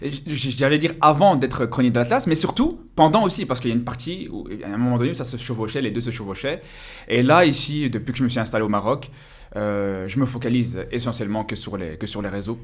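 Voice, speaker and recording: A man's voice: mid-range voice, animated, quick pace. A man, a guest in a casual interview, talking passionately and repeating phrases for emphasis. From Belgium. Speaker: guest, content creator.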